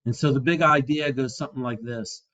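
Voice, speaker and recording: A man in his sixties giving an instructional talk to fellow practitioners in an earnest, deliberate, emphatic way. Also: medium pitch, fairly even intonation, fairly bright tone. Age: 60s. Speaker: chiropractor and practice coach. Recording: dull, dry lo-fi audio.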